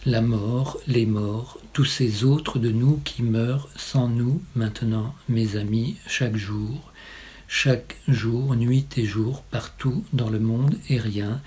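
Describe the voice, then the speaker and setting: A man reciting poetry in a solemn style, with measured, incantatory phrasing and short pauses. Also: mid-range voice, fairly even intonation, mellow. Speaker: poet reading own poem.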